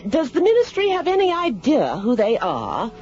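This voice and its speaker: A woman playing a haughty queen in a radio drama, regal, deliberate and pompous. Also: high voice, wildly swooping pitch, darkish tone, faint music behind. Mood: imperious. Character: haughty, imperious British queen. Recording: muffled, noisy radio recording.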